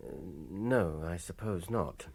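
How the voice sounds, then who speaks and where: A British man playing a gentle, earnest, weary hobbit adventurer in a radio drama, speaking in a hesitant, halting and expressive way. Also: deep voice, heavily acted.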